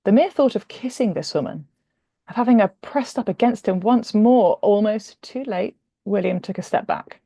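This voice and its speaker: A woman author reading her humorous romance novel aloud in expressive, dramatic narration with deliberate pauses. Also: medium pitch, no room sound, studio quality.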